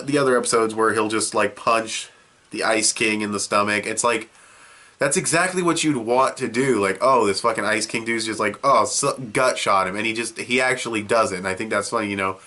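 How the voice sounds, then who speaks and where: A man's voice: on the low side, moderate pace, pushed and bright. A young man with a cold, sniffling as he reviews a show in a loose, rambling way full of fillers. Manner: rambling, loose and offhand, with many fillers and restarts. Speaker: video reviewer. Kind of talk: casual video review.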